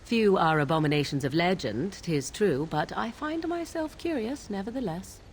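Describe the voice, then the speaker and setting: An actress playing an aloof, sardonic young witch of the wilds in a fantasy video game, with formal archaic diction and a deliberate, theatrical delivery. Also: medium pitch, very animated, a little hiss or hum.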